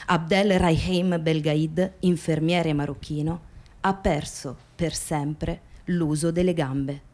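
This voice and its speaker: A woman narrator reading a scripted documentary account for radio theatre in a measured, formal manner. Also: deep voice, steady tone, a little mellow.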